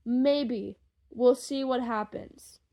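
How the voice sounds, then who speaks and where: A young adult woman with a voice on the high side talks informally to her followers. She rambles along with excitable, gushing enthusiasm. Her wording is loose and full of slang, like a fan creator chatting off script. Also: extremely slow. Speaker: podfic creator and fan fiction writer. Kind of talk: casual rambling chat to listeners.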